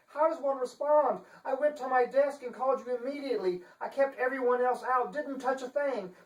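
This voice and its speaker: A man's voice: very high-pitched, voice pushed up. A man voicing a petite, dour female university chancellor, affectedly aristocratic and shaken, in a dramatic novel reading. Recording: echoey room, distant microphone.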